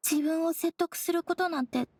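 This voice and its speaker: A woman's voice: very high-pitched, normal speed, a little mellow, dry recording. A woman playing a young, earnest, brooding traveler with plain, direct speech in a Japanese game. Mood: troubled, pensive.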